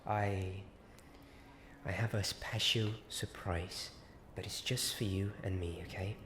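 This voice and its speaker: Hushed, slow, low-voiced secretive wizard-school companion played by a man. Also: steady tone. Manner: hesitant, halting, hushed and confiding. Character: friendly, secretive Hogwarts companion eager for a ghost party. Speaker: ASMR roleplay performer. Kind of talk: ASMR roleplay, partly whispered. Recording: clearly noisy ambient background.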